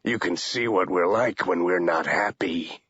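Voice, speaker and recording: A man voicing a sardonic male agent who talks mildly but with quiet threat. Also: deep voice, a little slow, husky, dry recording, exaggerated voice. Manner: mild on the surface, quietly threatening. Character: menacing, sardonic male "bad cop" agent. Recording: dull, lo-fi audiobook recording.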